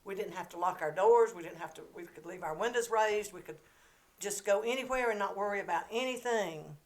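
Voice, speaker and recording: This older woman has a Southern accent and tells her stories in a lively, playful way, laughing often as she talks. Her pitch sits in a middle range, neither high nor low. She is chatting as the guest on a relaxed podcast. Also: fairly quick. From Georgia, US. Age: 70s. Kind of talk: casual storytelling chat.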